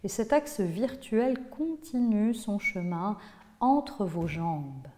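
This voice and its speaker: A woman teaching yoga nidra, guiding a relaxation in a measured, instructive way with pauses between phrases. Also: mid-range voice, lively intonation, warm tone, a little hiss or hum. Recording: long hall-like reverb on voice.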